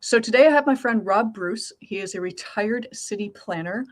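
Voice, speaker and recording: A woman hosts a podcast interview, warm and encouraging with her guest. Her voice sits at a medium pitch. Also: normal speed. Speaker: host.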